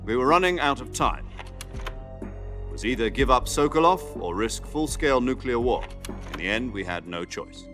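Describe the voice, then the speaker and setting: An actor playing a seasoned male spy commander, giving a mission briefing in measured, deliberate phrases as in a dramatic video game. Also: mid-range voice, animated, a bit bright, soft background music, natural voice. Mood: grave, explanatory.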